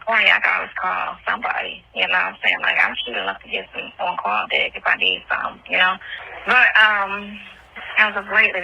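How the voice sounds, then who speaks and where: Noisy phone call, sharp gravelly candid woman. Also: medium pitch. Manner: candid, blunt, slangy. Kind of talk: casual intimate phone chat.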